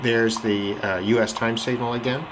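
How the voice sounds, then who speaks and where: A man's voice: mid-range voice, fairly even intonation, normal speed. A man giving casual, unscripted commentary as a radio hobbyist, thinking aloud in a tentative way. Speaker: shortwave radio hobbyist narrating a video. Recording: loud shortwave radio static and noise. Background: shortwave radio reception.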